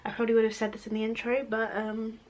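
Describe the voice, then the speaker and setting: A young woman chatting casually through a makeup tutorial, her voice a little croaky from a cold, rambling and laughing easily. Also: fairly high pitch, monotone, fast, a little mellow. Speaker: beauty vlogger.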